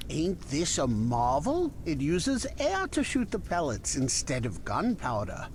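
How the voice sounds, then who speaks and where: Slow, lilting male weapons merchant, acted by a man. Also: a little high, huge pitch swings, a little hiss or hum. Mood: helpful, showy. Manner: unhurried, conversational salesman patter with dramatic pauses. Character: chatty, obliging male weapons merchant of the musket era. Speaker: game shopkeeper character. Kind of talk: video game merchant voice lines.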